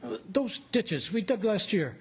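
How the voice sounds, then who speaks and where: A man playing a humble, loyal plantation foreman in a radio drama, husky, hesitant and deferential. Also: sits high, big pitch swings, a little slow, full character voice. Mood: anxious, deferential.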